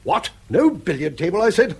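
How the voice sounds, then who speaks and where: A man's voice: sits high, sing-song, a little slow, breathy edge, exaggerated voice. An actor playing a bankrupt, argumentative old grandfather in a British radio drama, blustering and sardonic as he needles his family. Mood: grumbling, sardonic. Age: older.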